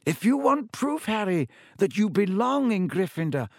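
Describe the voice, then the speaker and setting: A man voicing a calm, kindly old male headmaster wizard, speaking slowly and gently in an audiobook. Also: high-pitched, no echo, clean studio sound, voice pushed up. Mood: calm, wise. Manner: slow, gentle, measured speech. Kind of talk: audiobook character voice.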